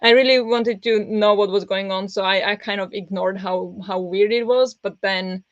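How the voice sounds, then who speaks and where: Woman rambling on a book stream, pressed kinda raspy voice, dull lo-fi sound. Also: mid-range voice, steady tone, normal speed, no echo. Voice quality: pressed voice that turns raspy easily. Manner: rambling, with many fillers and restarts. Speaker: book streamer hosting reading sprints. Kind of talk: casual livestream chat with viewers.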